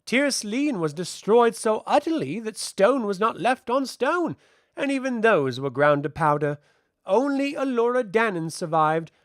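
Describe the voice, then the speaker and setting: Fantasy audiobook role: ageless elemental child, played by a man, high and lilting, serenely superior, archaic formal diction. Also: a little slow, no room sound, studio quality. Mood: solemn. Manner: infernally calm, formal archaic speech. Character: ancient elemental being in child form, calm and lofty.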